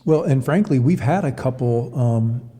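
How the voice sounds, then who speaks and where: Very mellow, dark-voiced man being interviewed. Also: medium pitch, measured pace. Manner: hesitant, repeats words while explaining. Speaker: college athletic director, interview guest. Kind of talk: conversational interview answers. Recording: long reverberant room sound.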